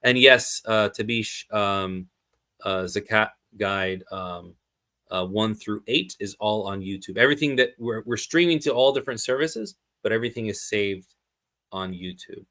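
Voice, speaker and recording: A man's voice: on the low side, normal speed, bright, forceful tone. A man giving a live streamed lesson, thinking aloud through worked examples and answering his own questions. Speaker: teacher explaining zakat calculation.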